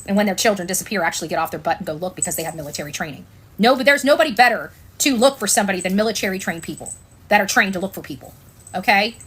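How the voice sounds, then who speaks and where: A woman's voice: medium pitch, lively intonation, rapid-fire, fairly bright tone, breathy edge. Blunt, sarcastic American woman commentator. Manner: blunt, sarcastic, repeats phrases for emphasis. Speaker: online commentator and show host. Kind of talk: informal opinionated livestream commentary.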